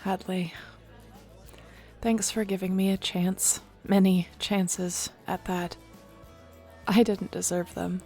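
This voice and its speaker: A young shapeshifter woman played by a voice actress leads her clan with warmth. Her voice sits mid-range with a creaky edge, and she chats sincerely and casually, as in an audio roleplay, with faint music underneath. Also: mid-range voice, little pitch movement, slow, pauses often. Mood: grateful. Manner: casual, sincere, conversational storytelling. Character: warm young female shapeshifter, newly made clan leader.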